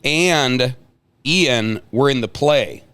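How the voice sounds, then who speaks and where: A man with a mid-pitched voice hosts a casual conversation. He asks questions and comments in an informal, slangy style. His manner is teasing. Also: drawn-out words, fairly bright tone, clear, resonant tone. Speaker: host interviewing high school seniors. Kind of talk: casual chat.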